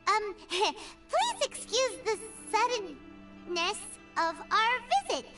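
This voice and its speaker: Squeaky little fairy companion. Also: female voice, sing-song, takes it slowly, distant mic, heavily acted. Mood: flustered, awed. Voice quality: squeaky, childlike. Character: small chatty childlike female fairy companion, eager and blunt. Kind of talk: anime game voice acting. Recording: game audio under loud music.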